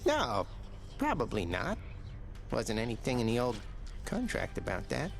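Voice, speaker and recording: A cheeky undead training-dummy sidekick for a game. The voice is gravelly and leaps wildly in pitch, breezy and slangy, over faint music. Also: male voice, wildly swooping pitch, unhurried, heavily acted. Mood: breezy, amused. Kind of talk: video game voice acting.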